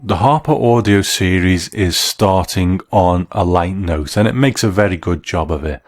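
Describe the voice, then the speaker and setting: A man's voice: deep voice, moderate pace. A man presenting a scripted review in a conversational, explanatory style.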